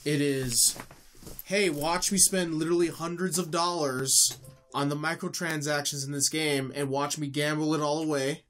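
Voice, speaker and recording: A man's voice: a little high, steady tone, moderate pace, a bit bright. A man with a stuffed-up nose, co-hosting a casual gaming podcast and rambling with many fillers and restarts. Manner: rambling, filler-heavy, self-correcting. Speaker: podcast co-host. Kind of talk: casual chat.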